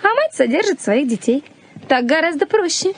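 A woman's voice: sits high, very animated, normal speed. An actress playing a playful, independent young bride-to-be who teases her fiancé in a 1980s radio play. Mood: light, teasing. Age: young adult. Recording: faint noise, old radio play recording.

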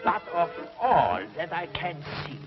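A power-mad ruler of an underground world, high-voiced and grandly melodramatic. He draws out his commands slowly and hungers to conquer. Music swells behind him on a muffled old TV soundtrack. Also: sing-song. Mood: imperious. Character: power-mad subterranean despot craving to conquer the surface. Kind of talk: 1950s television serial villain acting.

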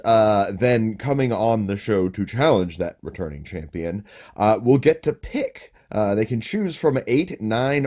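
A man co-hosting a game show podcast, relaxed and explaining things at length with plenty of fillers. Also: medium pitch. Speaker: podcast co-host. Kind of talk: casual chat.

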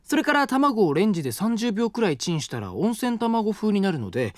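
An actor playing a polite, gentle young college student who cooks and patiently explains recipes. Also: male voice, high-pitched, sing-song, brisk, no echo, clean studio sound. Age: young adult. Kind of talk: studio audio drama. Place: dry studio.

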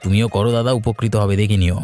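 A man's voice: fairly low pitch, steady tone, fast talker, mellow, faint music behind, close to an everyday voice. A man playing an earnest, plain-spoken village rice farmer and father in an educational cartoon.

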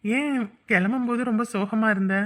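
A woman playing a humble, sincere young man in love in a romantic drama, earnest and halting. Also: on the high side, close to an everyday voice. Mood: tender, curious. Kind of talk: romantic story drama acting.

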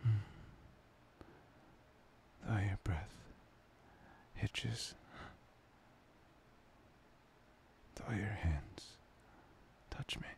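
A man playing a tender, playful boyfriend in an intimate ASMR roleplay, breathy and often slipping into a whisper. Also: on the low side, heavily acted. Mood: tender, yearning. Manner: hesitant, intimate, soft-spoken. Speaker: ASMR voice performer.

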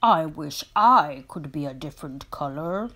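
Friendly storybook hippo, played by a woman: slow, exclaiming, with swooping pitch. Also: heavily acted. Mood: wistful. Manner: exclaiming, big and expressive. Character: friendly hippo bored of being gray.

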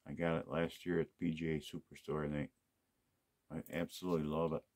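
A man's voice: rumbling low, little pitch movement, quick pace, a little mellow. A man casually talking through an unboxing of used golf clubs, musing aloud to himself as he inspects each one. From United States. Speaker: golf club unboxing host.

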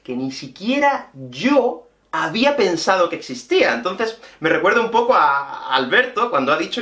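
A man in his thirties with a Peninsular Spanish accent gives a language lesson straight to his viewers. His voice is fairly high and very lively in pitch, explaining things expressively. It is recorded in an echoey small room. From Spain. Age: 30s. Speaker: Spanish teacher and video host. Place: small indoor room with whiteboard and bookshelf.

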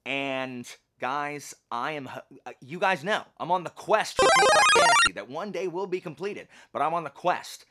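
A man's voice: on the high side, moderate pace. A man hosting a book channel, chatting casually and emphatically in colloquial speech with self-deprecating humour. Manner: animated, emphatic. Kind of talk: casual chatty vlog talk about books.

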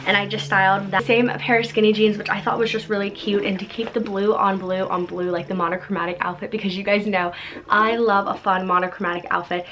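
A woman's voice: mid-range voice, fairly bright tone, slight background noise, poor audio. Bubbly chatty young woman vlogger. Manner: bubbly and chatty, many fillers like "like" and "so cute". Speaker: fashion vlogger. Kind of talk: casual fashion haul talk. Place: pink-decorated bedroom, indoors.

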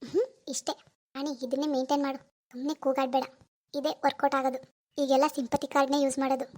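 A woman's voice: high voice, level tone, fast, a little mellow, close to an everyday voice. A woman playing a scheming, lazy young wife in a domestic drama, sly and theatrical in her delivery. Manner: sly muttering alone, whiny playacting to others. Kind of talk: amateur domestic drama acting.

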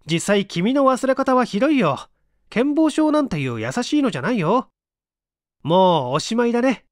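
A man's voice: very high voice, sing-song, normal speed, dry recording, clean studio sound, voice pushed up. A man voicing a needling, coolly mean male friend who presses and accuses in a dramatic audiobook reading. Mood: blunt, accusing.

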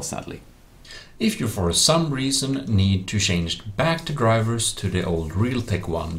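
A man giving a plain-spoken, step-by-step software tutorial as a voice-over. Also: low voice, creaky. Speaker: tutorial presenter. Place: voice-over screen recording.

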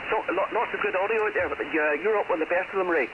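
A man's voice: sits high, fast. A man with a British accent chatting casually as a ham radio caller, heard through a shortwave radio transmission. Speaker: amateur radio operator, caller. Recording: noisy, muffled shortwave radio reception.

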